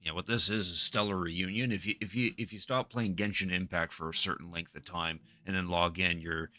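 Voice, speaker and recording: A man streaming a video game with casual commentary, halting and repeating his phrases as he talks half to himself. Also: low voice, steady tone, bright, forceful tone. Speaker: game livestreamer. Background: game music.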